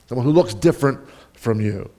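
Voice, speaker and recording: Informal, halting male preacher. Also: mid-range voice, animated, dark tone. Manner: halting, repetitive, rhetorical questions to listeners; self-deprecating, joking. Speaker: pastor preaching to a congregation. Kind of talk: informal conversational sermon.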